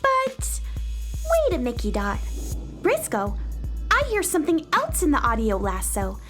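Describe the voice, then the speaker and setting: A woman's voice: a little high, huge pitch swings, unhurried, fairly bright tone, full character voice. A woman playing a plucky, curious little cowgirl in a children's space radio adventure, with earnest childlike delivery, over music. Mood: cautious.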